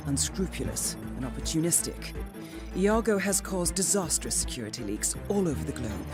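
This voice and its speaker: An actress playing a composed female handler of an assassin, speaking in a measured, articulate, formal way as in a scripted video game briefing. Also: sits low. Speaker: handler giving mission briefings. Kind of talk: scripted dramatic game narration. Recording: dramatic music behind the voice.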